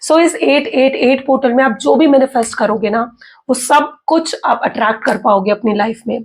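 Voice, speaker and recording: A woman tarot reader with an Indian accent, hoarse from a sore throat, chatting casually to viewers and checking in with "okay" as she explains. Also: high voice, moderate pace. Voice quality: husky. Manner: chatty, mixes in English, frequent "okay" and "theek hai" check-ins.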